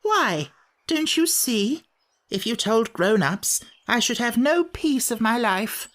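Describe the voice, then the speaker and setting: Grumpy tiny furry wish creature for a storybook, high squeaky whiny voice, always put upon. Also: male voice, sing-song, takes it slowly, fairly bright tone, full character voice. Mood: agitated. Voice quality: shrill, cracking into a squeak when worked up. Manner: indignant, complaining.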